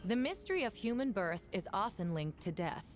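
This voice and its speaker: A woman playing a formal, deliberate female prosecutor in a voice-acted video game. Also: mid-range voice, big pitch swings, a little hiss or hum, close to an everyday voice. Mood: grave reading aloud. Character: formal, resolute female prosecutor presenting evidence in court. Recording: game audio with faint music behind.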